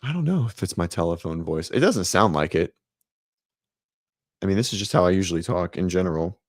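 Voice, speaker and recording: Male host, deep and raspy, loose rambling delivery full of fillers, dry home-office mic. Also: animated, fairly quick, no room sound. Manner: rambling, many ums and restarts. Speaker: host of a fitness livestream show. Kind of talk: casual livestream chat. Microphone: set-up studio mic.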